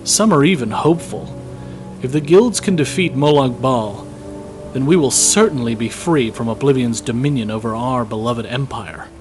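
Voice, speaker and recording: A man playing a weary, guilt-ridden young imperial soldier in a fantasy audio drama, reading his journal in a measured, reflective way over music. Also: medium pitch, lightly acted. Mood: uncertain, pondering.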